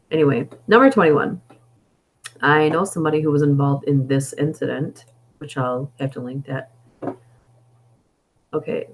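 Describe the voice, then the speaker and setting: A woman hosts a casual live stream, reading stories and chatting between them. Her voice is hoarse, as if it is wearing out. She thinks aloud and wanders from point to point. She speaks into an inexpensive desktop microphone. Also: low-pitched, poor audio. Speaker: story reader and live streamer. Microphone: budget desktop microphone.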